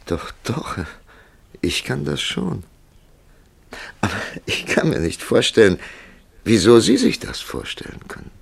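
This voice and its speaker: An actor playing an urbane, sceptical male writer who narrates and questions in a measured, wry way in a radio play. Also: medium pitch, big pitch swings, creaky, husky, dry recording, heavily acted. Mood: curious, sceptical.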